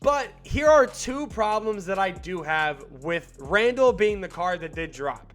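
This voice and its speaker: A man's voice: high-pitched, animated, unhurried, fairly bright tone, soft background music. A young man with a slightly stuffed-up voice giving casual, slangy video game commentary. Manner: hyped, slangy and rambling, with filler words. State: still a little stuffed up from a cold. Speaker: gaming YouTuber.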